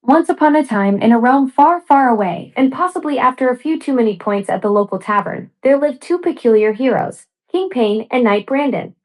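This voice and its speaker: A woman's voice: a little high, animated, moderate pace, hardly any pauses, darkish tone, poor audio. A synthetic woman's voice assistant telling a scripted fairy tale in a wry, arch way with theatrical asides. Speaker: voice assistant storyteller. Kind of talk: scripted storybook narration.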